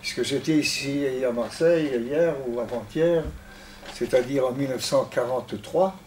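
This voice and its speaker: An older man, speaking French as an acquired language, gives a halting, careful talk to an audience. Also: medium pitch, darkish tone, slight background noise, rough recording. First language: not French. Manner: halting, precise, with frequent pauses. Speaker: guest speaker. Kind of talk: lecture to an audience.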